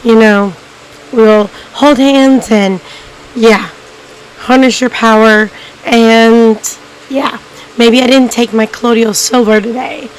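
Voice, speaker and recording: A woman with a hoarse, cracking voice, hosting a documentary-style video and talking casually to viewers, rambling with many ums and restarts. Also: fairly high pitch, fairly even intonation, slow, slight background noise, rough recording. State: hoarse, losing her voice. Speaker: YouTube documentary host. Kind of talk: casual unscripted narration to viewers.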